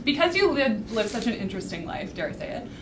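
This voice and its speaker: A woman's voice: fairly high pitch, sing-song, quick pace. A woman interviewing a guest in a casual, friendly way. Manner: friendly, giggly. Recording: noisy, distant mic.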